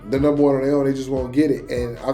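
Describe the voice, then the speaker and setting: A man's voice: medium pitch, level tone, racing, warm tone, faint music behind. Casual slangy man hosting a reaction show. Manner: slangy, conversational.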